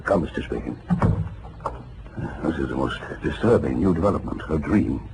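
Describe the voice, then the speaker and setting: An actor playing a pompous, fussy, excitable male psychoanalyst in a 1940s radio drama, halting and emphatic. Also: a bass voice. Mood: flustered. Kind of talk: 1940s radio comedy-mystery acting. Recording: noisy vintage radio recording.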